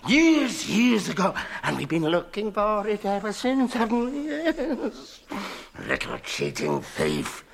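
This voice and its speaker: An actor playing a wretched, slimy creature in a radio drama, croaking and whining as he mutters and wheedles to himself. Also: high voice, wildly swooping pitch, slow, full character voice. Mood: aggrieved, spiteful. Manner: muttering to himself, repeating words, wheedling tag questions.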